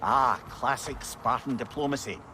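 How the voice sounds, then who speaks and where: A man playing a wry, wise peacemaking advisor in a video game, speaking with a Scottish accent in a measured, articulate way. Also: medium pitch, background noise, lightly acted.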